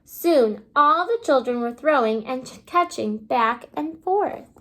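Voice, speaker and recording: A woman kindergarten teacher reading a storybook aloud to young children in an exaggerated, child-directed way, pausing to ask simple questions. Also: high voice, lively intonation, fairly bright tone. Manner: asks simple questions, pausing as if listeners answer.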